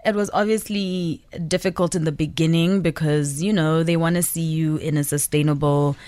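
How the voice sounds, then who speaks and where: A young woman from Zambia, a musician guest on a radio interview, answering in a measured, articulate way. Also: sits low, steady tone, darkish tone, dry recording.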